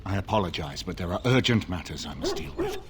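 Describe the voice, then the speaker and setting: A man's voice: low voice, wildly swooping pitch, fast, very noisy. An actor playing a commanding, courteous older nobleman in a fantasy video game, speaking formally and with stately authority. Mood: stern, courteous.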